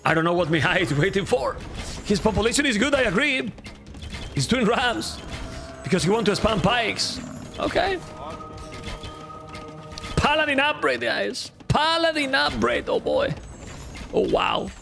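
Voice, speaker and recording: Hoarse Spanish-accented male caster, lively swings in pitch, faint music under a home stream. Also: measured pace, long pauses. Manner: animated, repeats phrases, talks to chat. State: losing his voice. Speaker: game caster. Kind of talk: live game commentary to chat. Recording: faint game music behind.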